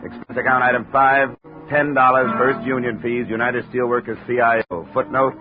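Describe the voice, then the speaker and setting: An actor playing a wisecracking hardboiled insurance investigator who also narrates the story, in the manner of a 1950s radio drama. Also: male voice, medium pitch, little pitch movement, normal speed, over music, close to an everyday voice. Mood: dry. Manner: dry, wry, matter-of-fact. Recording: phone-like old radio broadcast.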